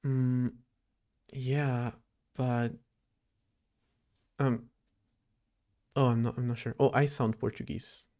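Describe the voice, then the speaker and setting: A man chats casually on a live coding stream, his voice gravelly with creak at the ends of phrases. He rambles and thinks aloud, drifting between his code and the viewers' messages. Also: mid-range voice, flat delivery, slow pace, long pauses, a little mellow. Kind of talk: casual livestream chat while coding.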